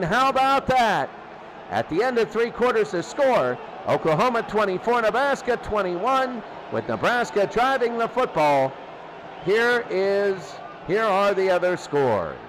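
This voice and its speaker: Male play-by-play announcer, high voice, excitable and informal, repeating short phrases over clearly audible background noise. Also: measured pace. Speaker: play-by-play commentator. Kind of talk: informal play-by-play football commentary. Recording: noisy, steady background rumble.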